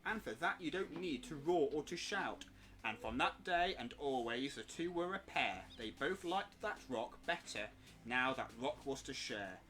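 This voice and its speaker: A man's voice: mid-range voice, lively intonation, measured pace, pushed and bright. A British man playfully and expressively reading a rhyming bedtime story as a streaming parent. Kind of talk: animated rhyming storybook narration.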